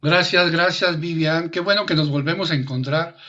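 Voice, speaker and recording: A man's voice: fairly high pitch, moderate pace, bright, forceful tone, lo-fi sound. A man from Colombia, a storyteller guest in an online interview, joking and laughing easily. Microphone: video call.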